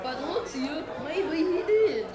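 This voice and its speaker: A young female student playing a teasing, excitable village gossip in a tavern scene of a radio play. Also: sits high, moderate pace. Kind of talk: school radio play acting. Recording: loud crowd, noisy and distant.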